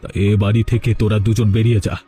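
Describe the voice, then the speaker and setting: A man's voice: fairly low pitch, flat delivery, fast, warm tone, faint music behind, lightly acted. A man voicing a resentful, threatening young village man in a cartoon melodrama, with dramatic delivery. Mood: cold anger. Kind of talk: cartoon voice acting.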